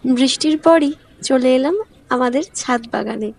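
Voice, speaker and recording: A woman's voice: high voice, normal speed, vocal fry, very noisy. A woman narrating a vlog in a scripted style, as its host. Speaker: vlogger. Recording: loud music over the voice. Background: loud music.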